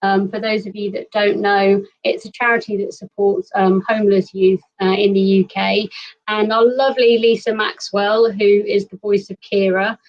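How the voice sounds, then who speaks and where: A woman's voice: mid-range voice, flat delivery, normal speed, a little hiss or hum. A British woman hosting a casual online livestream chat over a video call. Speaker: host of an online fan convention. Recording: dull, lo-fi online call audio.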